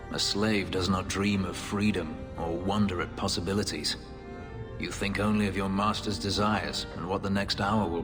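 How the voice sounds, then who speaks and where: A man plays a haunted former slave turned elf warrior, his voice very low and darkly brooding. He speaks in measured, formal, clipped sentences, holding himself in check. A loud game score plays under him. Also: lightly acted. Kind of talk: video game cutscene dialogue.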